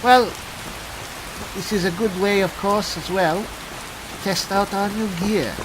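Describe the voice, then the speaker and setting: Male roleplay gamer, slightly high voice, unhurried and halting, thinking aloud in character. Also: steady tone, noisy background. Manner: musing, halting, talks to himself. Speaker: gameplay host, player in character. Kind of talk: in-character roleplay game commentary. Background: rain and thunder from the game.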